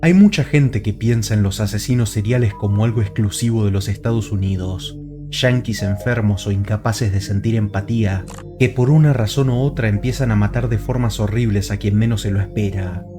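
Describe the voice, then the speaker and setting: A man giving scripted documentary narration in a measured, serious tone, played back with music underneath. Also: fairly low pitch. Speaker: narrator of the reacted video.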